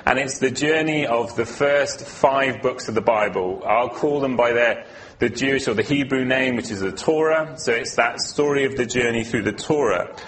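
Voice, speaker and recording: A man's voice: medium pitch, moderate pace, a bit bright, a little hiss or hum. A man from Wales giving an earnest, informal teaching talk as a guest speaker at a church, with a few fillers.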